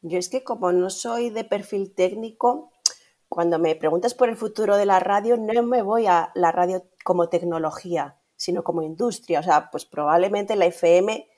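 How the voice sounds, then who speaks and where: Female interview guest, middle-aged, Castilian Spanish, lowish pitch, conversational and explanatory, tags phrases with questions. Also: moderate pace, a little mellow. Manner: explanatory, often ends phrases with a tag question. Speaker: guest, radio industry manager. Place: indoor room at home, on a video call.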